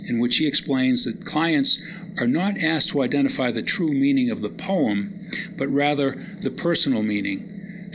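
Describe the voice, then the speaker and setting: Man, public lecturer, reflective halting delivery, medium pitch, noticeable background noise. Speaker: lecturer introducing poems and readers. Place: indoor public lecture event. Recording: noisy live lecture room recording.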